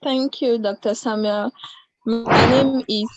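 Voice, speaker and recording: A woman's voice: fairly high pitch, fairly even intonation, takes it slowly, highs cut off, a little hiss or hum. A young woman on a video call introducing herself as an election candidate, speaking with hesitant fillers. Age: young adult. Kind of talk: campaign self-introduction.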